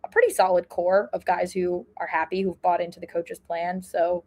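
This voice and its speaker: A woman's voice: lowish voice, level tone, normal speed, darkish tone. A woman co-hosting a podcast, talking through sports analysis casually in a thoughtful way, often correcting herself mid-sentence. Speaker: podcast co-host.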